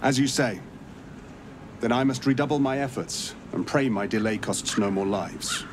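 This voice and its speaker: A man playing a courteous, grave monster hunter in a video game, speaking in measured, formal, storytelling phrases. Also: mid-range voice, noisy background, natural voice. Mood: pressing, resolute. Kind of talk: dramatic video game acting.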